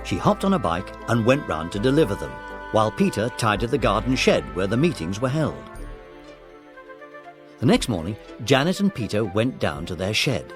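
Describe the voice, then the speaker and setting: A man's voice: on the low side, big pitch swings, moderate pace, music in the background. A man reading scripted narration for a children's audiobook story.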